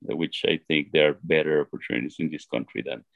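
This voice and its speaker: A deep, gravelly-voiced man from Ecuador with an Ecuadorian Spanish accent, recorded close and dry. He is middle-aged and talks through his story in an earnest, halting way, often restarting his sentences. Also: fairly even intonation, no echo, narrow, tinny audio. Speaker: interview guest. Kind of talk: reflective personal storytelling.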